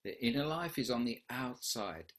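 A man in his fifties leading an online question session from a room at home, speaking slowly and reflectively over a video call. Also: mid-range voice, animated, a bit bright. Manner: slow, reflective, husky. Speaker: workshop leader. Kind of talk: online Q&A talk. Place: home room with wooden doors.

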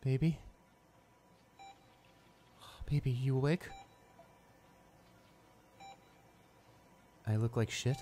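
A man's voice: fairly low pitch, dark tone, lightly acted. A man acting a tender, anxious young boyfriend. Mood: gentle, concerned. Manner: tender, halting, repeating himself in reassurance. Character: devoted, anxious young boyfriend comforting his hurt girlfriend. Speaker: voice actor. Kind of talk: intimate boyfriend audio roleplay.

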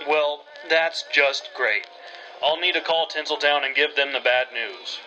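Man as a bright-voiced, put-upon city gentleman. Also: fairly high pitch, steady tone, a little slow, lo-fi sound. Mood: weary exasperation. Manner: polite, formal, put-upon. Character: fussy, weary city gentleman stranded in town. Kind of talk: old-time radio drama acting.

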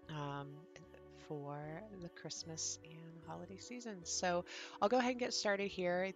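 A woman vlogger, congested and raspy from just waking up, chatting enthusiastically through a home decor showcase with ums and throat clearing. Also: low-pitched. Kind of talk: casual product showcase narration.

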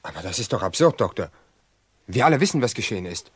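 An actor playing a protective brother-in-law in a radio drama, indignant, assertive and argumentative. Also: male voice, on the low side, sing-song, quick pace, husky, no room sound, exaggerated voice.

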